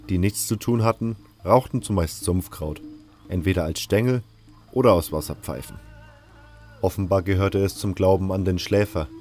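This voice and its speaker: A man with a low voice narrates a fantasy audio drama in the first person. It is scripted storytelling, with faint music behind him. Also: darkish tone.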